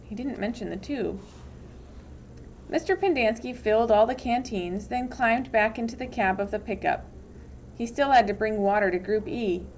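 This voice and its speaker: A woman's voice: a little high, normal speed, a little mellow, muffled recording, slight background noise. A woman reads a children's novel aloud as scripted storybook narration. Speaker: teacher reading aloud.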